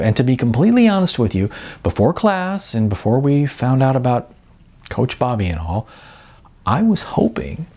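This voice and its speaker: A man's voice: mid-range voice, gravelly, dark tone, breathy edge, pitched above the performer's own voice, heavily acted. A man voicing an earnest, smitten young woman who speaks frankly and coaxingly in an audiobook.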